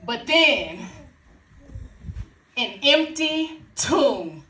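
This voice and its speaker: A woman playing a devout female follower of Jesus in a dramatic Easter monologue, with deliberate theatrical pauses. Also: high voice, big pitch swings, pushed and bright, exaggerated voice. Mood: joyful, triumphant. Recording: roomy, distant mic, faint noise.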